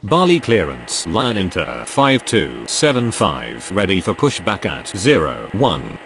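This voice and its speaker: A man's voice: deep voice, huge pitch swings, a little slow, fairly bright tone, full character voice. A man playing a pilot and air traffic controller, giving formal, clipped radio callouts in standard aviation phraseology. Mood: businesslike.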